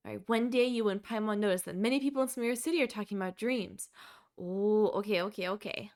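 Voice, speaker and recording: A woman's voice: medium pitch, fast talker, darkish tone. A woman livestreaming a game with casual, giggly chat and an easily flustered manner.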